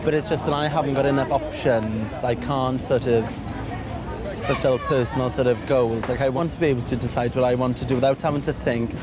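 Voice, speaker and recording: A man's voice: mid-range voice, steady tone, a little fast, a crowd in the background, noisy background. Chatty young Welsh man. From Wales. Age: young adult. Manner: chatty and rambling, full of fillers. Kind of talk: casual interview chat.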